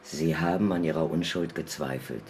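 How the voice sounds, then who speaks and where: An actor playing a cold, methodical official of a computer justice system in a German radio drama, speaking in a measured, insistent way. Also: male voice, a bass voice, heavy background noise. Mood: cold, pressing.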